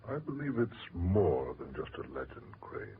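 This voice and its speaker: An actor playing a genteel, credulous British gentleman, earnest and a little hesitant, in a 1940s radio drama. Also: a bass voice, sing-song, normal speed, full character voice. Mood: insistent. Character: genteel, credulous Englishman fascinated by eerie legends. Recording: noisy, tinny 1940s radio recording.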